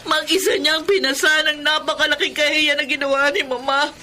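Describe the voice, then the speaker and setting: Anguished adult daughter, very high bright voice, accusing and tearful, radio drama, faint noise behind. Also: female voice, fairly even intonation, fairly quick. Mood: grieving, bitter. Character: anguished, outraged grown daughter betrayed by her mother. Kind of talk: Tagalog radio drama acting.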